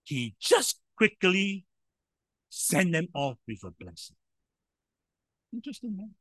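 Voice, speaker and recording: A man preaching a sermon in a loud voice, emphatic, with sudden swings in volume and frequent rhetorical questions. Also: high voice, sing-song, takes it slowly, husky, no room sound.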